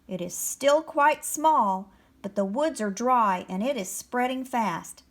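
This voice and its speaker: A woman voicing an alarmed, insistent male hawk in a storybook, speaking gravely and emphatically. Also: on the high side, slow.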